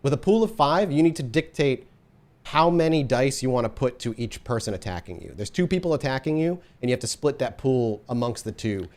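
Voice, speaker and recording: A man acting as storyteller for a tabletop horror game, his voice sitting at an ordinary middle pitch. He paints the scene in vivid detail, then shifts easily into patient explanations of dice rolls and rules. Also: animated, brisk, a little mellow.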